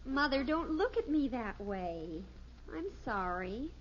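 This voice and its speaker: An actress playing an earnest, excitable teenage daughter in a 1950s radio sitcom. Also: female voice, a little high, slow, a little hiss or hum. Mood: apologetic. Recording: muffled old radio recording.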